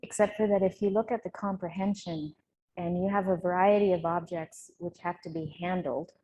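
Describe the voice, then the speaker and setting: A woman speaker answering questions in an unscripted academic discussion, reasoning aloud in a measured way. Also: fairly low pitch, fairly even intonation, warm tone, lo-fi sound. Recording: faint background noise.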